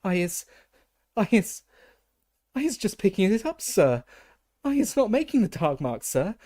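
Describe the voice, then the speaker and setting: A man's voice: high-pitched, sing-song, measured pace, warm tone, pitched above the performer's own voice. A man voicing a small, terrified female house-elf servant who squeals, stammers and pleads in broken grammar. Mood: squealing terror. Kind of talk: audiobook reading.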